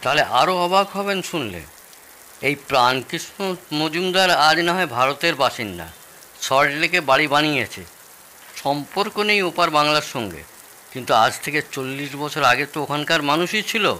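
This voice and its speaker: An actor as an excitable, swooping man in his sixties. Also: a little high, moderate pace, slight background noise. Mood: indignant, boastful. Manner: theatrical, boastful, needling. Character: excitable, proud man in his sixties, fish-loving host. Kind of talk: audio drama acting. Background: rain.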